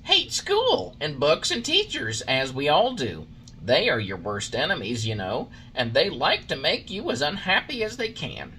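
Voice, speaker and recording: A jeering schoolboy bully, played by a male storybook reader, pitched up and very animated. The delivery is taunting and exaggerated, with faint noise in the recording. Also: measured pace, full character voice. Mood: scornful.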